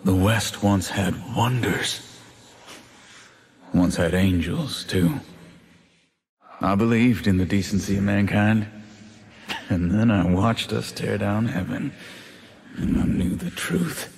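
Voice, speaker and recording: An actor playing a grim, vengeful demon-possessed cowboy gunslinger in a video game, brooding and cool with a drawl and a demonic growl. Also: male voice, expressive, takes it slowly, gravelly, long pauses, a little mellow, husky, heavily acted. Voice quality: low drawl with demonic growl layered on words.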